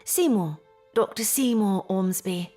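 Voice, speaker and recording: A woman's voice: mid-range voice, a little slow, mellow, faint music behind, natural voice. A woman playing a polite, anxious young Victorian lady in an audio drama, with formal, earnest speech. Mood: earnest, wary.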